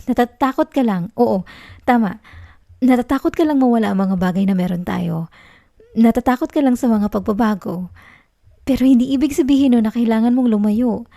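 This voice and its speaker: A woman plays a possessive young Filipino girlfriend who refuses to let go. Her voice is soft and mellow, with a creaky fry in it, recorded very dry and close. She pleads tenderly in an intimate ASMR roleplay. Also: on the high side, fairly quick, long pauses, no room sound. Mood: hurt, insisting. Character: clingy, possessive young student girlfriend in denial.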